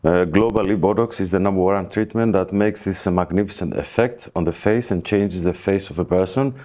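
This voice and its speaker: A man with a very deep, dark voice and a Greek accent. He speaks as an expert guest on a podcast. His explanations come in hesitant, broken phrases. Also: a little fast. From Greece. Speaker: plastic surgeon, guest expert. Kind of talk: podcast interview, explanatory talk.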